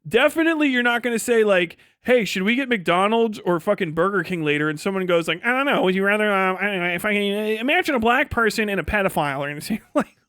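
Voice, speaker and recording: A man's voice: high voice, animated, quick pace, few pauses, pushed and bright, clean tone, dry recording, studio quality. A man doing casual livestream commentary, ranting in a profane, sarcastic and exasperated way. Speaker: livestream host reacting to other streamers.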